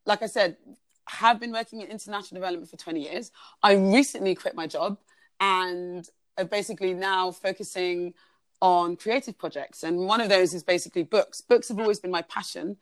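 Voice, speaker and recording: A woman's voice: medium pitch, fairly even intonation, brisk, no room sound. A woman, telling her story as a podcast guest in a chatty way, with many fillers and restarts. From Uganda. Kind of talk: casual conversational storytelling.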